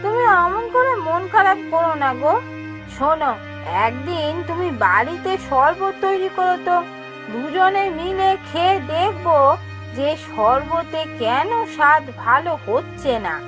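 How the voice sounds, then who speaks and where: A woman voicing a caring, homely village wife in a cartoon fairy tale, with a coaxing, expressive delivery. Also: very high voice, unhurried, over music. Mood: consoling.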